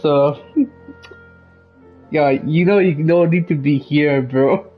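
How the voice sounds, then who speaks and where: A man's voice: fairly high pitch, slow. A man chatting casually to stream viewers as he games, with a slangy, teasing manner. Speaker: game streamer. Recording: dull lo-fi mic, faint game music. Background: faint game music.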